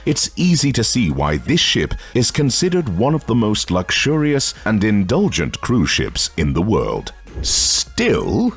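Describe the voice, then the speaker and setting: A man narrating a scripted voice-over in a polished, even delivery. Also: on the low side, breathy edge. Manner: polished voice-over with lively, rising and falling delivery.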